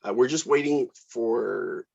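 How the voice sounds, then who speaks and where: A man talking casually and a little haltingly as he leads an informal meeting as a director, polite and organizing. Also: mid-range voice, creaky, a little mellow, husky. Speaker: film director leading a table read. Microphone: video call. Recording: dull, lo-fi video call audio.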